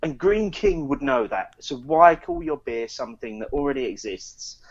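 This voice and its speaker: A man's voice: mid-range voice, expressive, normal speed, husky, muffled recording. A British man co-hosting a casual podcast chat, speaking in an opinionated, emphatic way. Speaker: podcast co-host.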